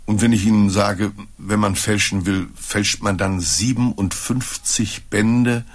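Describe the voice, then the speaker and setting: An older man speaks in an archival interview clip with a lowish voice. He argues his case firmly and with confidence, pressing every point. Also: steady tone, unhurried. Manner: insistent, self-assured arguing. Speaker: magazine publisher.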